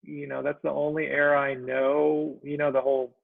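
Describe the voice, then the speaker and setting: A man of about forty from Israel, a guest talking in a casual chat, soft-spoken and halting, with many ums and trailing phrases. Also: fairly high pitch, level tone, vocal fry, dry recording. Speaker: guest cartoonist. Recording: tinny dry call audio.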